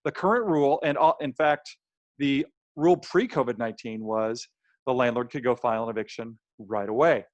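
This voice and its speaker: A man's voice: mid-range voice, moderate pace, no echo, lo-fi sound. An American man presenting a webinar in plain, conversational language, voicing imagined quotes as he explains, with a slightly strained voice. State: slightly strained, throat-clearing voice. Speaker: webinar presenter on housing law.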